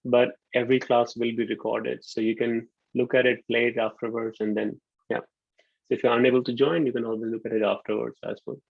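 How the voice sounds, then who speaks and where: A man from Pakistan in his thirties giving a relaxed online university lecture, often pausing with ums. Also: medium pitch, creaky, dry recording. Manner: relaxed, hesitant with frequent ums. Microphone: computer mic on a video call. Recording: dull lo-fi video call audio.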